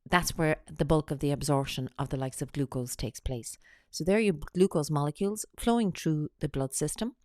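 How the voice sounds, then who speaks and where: Woman, low voice, has a cold, steady explaining tone, dry close sound. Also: no room sound. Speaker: weight-loss coach. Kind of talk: narrated slide presentation.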